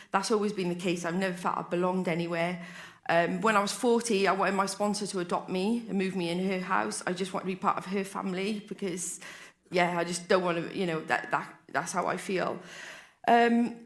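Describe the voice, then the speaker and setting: A woman's voice: medium pitch, a bit bright. A Welsh woman in her forties giving a candid, rambling personal share as a speaker at a recovery convention, often restarting her sentences. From Wales. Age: 40s. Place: convention hall stage.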